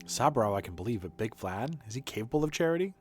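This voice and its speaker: A man reading game dialogue as a blunt, skeptical male doctor with a brisk, questioning manner. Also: medium pitch, sing-song, husky. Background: music.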